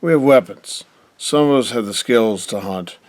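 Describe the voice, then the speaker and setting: Actor as a stern, commanding wealthy patriarch. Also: male voice, fairly low pitch, moderate pace, no room sound, close to an everyday voice. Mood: stern, resolute. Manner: formal, commanding, unyielding. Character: stern, authoritative wealthy father leading survivors. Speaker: actor in an audio drama. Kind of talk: radio drama acting.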